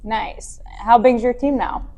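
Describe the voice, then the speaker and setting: A woman hosting a casual interview, upbeat with quick reactions. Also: on the high side, warm tone. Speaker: podcast host. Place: conference venue.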